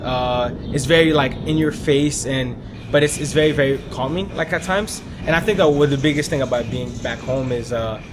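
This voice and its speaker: A man's voice: medium pitch, moderate pace. A young man from Haiti who grew up in Miami, talking casually and reflectively in an outdoor interview. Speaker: local interviewee. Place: outdoors at a cultural complex. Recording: noisy outdoors with steady hum.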